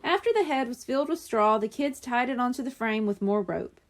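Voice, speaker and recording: A woman reading a children's book aloud in expressive scripted narration. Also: fairly high pitch, brisk. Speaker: audiobook reader.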